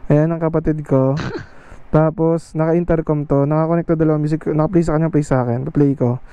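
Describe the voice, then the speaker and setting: A man's voice: on the high side, fairly even intonation, brisk, dark tone, clear, resonant tone, dry recording, lo-fi sound. A Filipino man chatting and commenting casually as he tests gear, on a helmet intercom microphone. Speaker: vlogger testing gear. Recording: noisy vehicle and road background.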